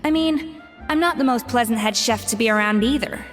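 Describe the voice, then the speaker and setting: A woman playing a proud, exacting young chef in an anime game, brisk, frank and self-assured. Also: fairly high pitch, soft background music, lightly acted. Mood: composed, frank.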